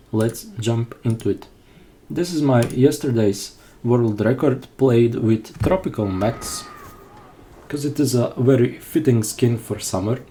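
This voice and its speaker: A man's voice: medium pitch, mellow, a little hiss or hum. Man explaining his speedrun. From Croatia. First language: Croatian. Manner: explaining step by step, casual, self-deprecating. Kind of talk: live gameplay commentary. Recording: game sounds and gunfire behind.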